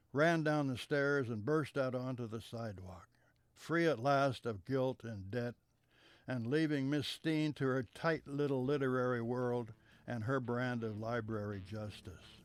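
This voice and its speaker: Male storyteller, mid-pitched, smooth tone, dry close mic, unhurried reading that falls soft at line ends. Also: dry recording. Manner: measured, trailing off softer at the ends of sentences. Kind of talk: reading a memoir story aloud.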